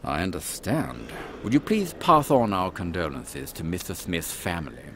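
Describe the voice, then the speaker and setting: A man playing a pompous British army captain in a comic Victorian audio drama, gentlemanly and blustering. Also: low-pitched, very animated, moderate pace, gravelly, a little hiss or hum, heavily acted. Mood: formal, courteous.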